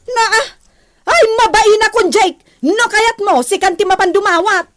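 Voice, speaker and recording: Exasperated wife, high voice, sharp-tongued, insistent nagging, radio drama. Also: female voice, normal speed. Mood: scolding. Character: exasperated, sharp-tongued wife scolding her jobless husband.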